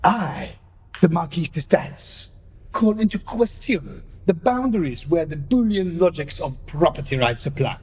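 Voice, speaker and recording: A man's voice: a little high, animated, measured pace, a little mellow, husky, voice pushed up, full character voice. A man playing a haughty aristocratic island elder in a radio play, declaiming pompously. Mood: imperious. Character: haughty aristocratic male island elder, mocking marquis of statistics.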